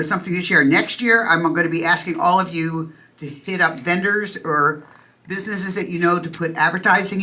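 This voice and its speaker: A woman's voice: lowish voice, sharp tone. A woman hosting a church service at a stand microphone, chatty and self-deprecating, with loose fillers. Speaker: host. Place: church meeting room.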